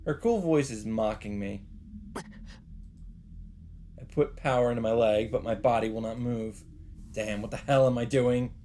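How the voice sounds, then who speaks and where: A man's voice: mid-range voice, unhurried, pauses often, warm tone, a little hiss or hum. A man reading a visual novel aloud with dramatic delivery as a let's player, with faint game ambience behind him.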